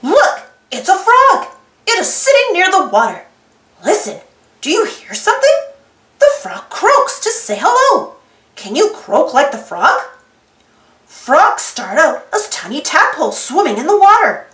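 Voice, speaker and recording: A woman reading a children's storybook aloud in an expressive, sing-song way, putting simple questions to young listeners. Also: extremely high pitch, measured pace, echoey room. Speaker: storybook reader. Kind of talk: children's read-aloud narration.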